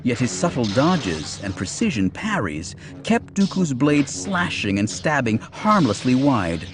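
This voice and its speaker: A man narrates an audiobook in a dramatic, scripted storytelling style. His mid-pitched voice is very lively in its inflection, and music plays behind it. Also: unhurried.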